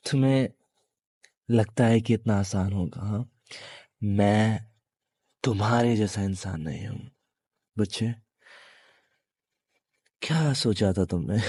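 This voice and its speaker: A menacing male demon acted by a man speaks straight to the listener in a low voice. The delivery is very slow and halting, taunting and possessive, with long pauses. It is a dry, close-sounding audio roleplay. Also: dark tone, husky, dry recording. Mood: taunting defiance. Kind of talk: intimate audio roleplay monologue addressed to listener.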